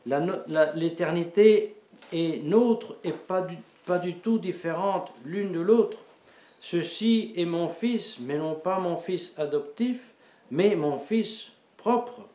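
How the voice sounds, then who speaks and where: A middle-aged male priest preaching a sermon in a small chapel, halting and repeating words with many fillers. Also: high voice, warm tone. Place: small wood-panelled chapel before an altar. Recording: echoey chapel.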